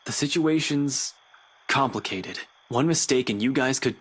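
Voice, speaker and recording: A worried, evasive young man in a visual novel game. His voice is husky and mid-pitched. He speaks seriously and tersely, holding things back. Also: measured pace. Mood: guarded.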